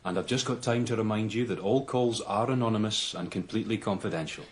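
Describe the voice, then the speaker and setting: An actor playing a slick crime-show presenter, delivering a practised television sign-off within a radio drama. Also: male voice, lowish voice, fast, natural voice. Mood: chirpy.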